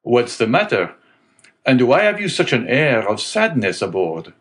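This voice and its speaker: A man's voice: medium pitch, huge pitch swings, unhurried, a bit bright. A man voicing an eager, anxious ship owner. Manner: eager questioning. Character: middle-aged male ship owner, anxious, practical.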